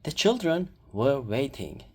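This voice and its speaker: An animated storyteller reads a children's storybook aloud. The pace is slow and deliberate, and the mid-pitched voice moves expressively up and down for young listeners. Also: breathy edge.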